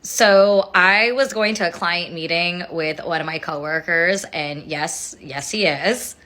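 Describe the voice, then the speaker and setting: A woman's voice: on the low side, takes it slowly, bright, forceful tone. A woman from New York telling a story to camera in an incredulous, wry way.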